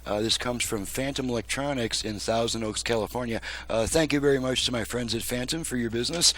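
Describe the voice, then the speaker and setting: A man's voice: medium pitch, brisk, fairly bright tone. A man presenting as a repairman, casually demonstrating his work step by step in a folksy way.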